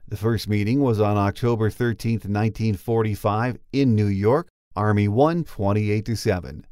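A man's voice: on the low side, darkish tone, no room sound, studio quality. An older man in his sixties or beyond hosting a sports podcast, moving between chat and scripted game notes. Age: 60s or older.

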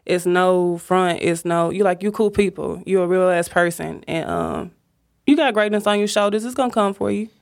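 A woman hosting a casual chat, talking in a reflective, measured way and giving advice. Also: on the low side, creaky.

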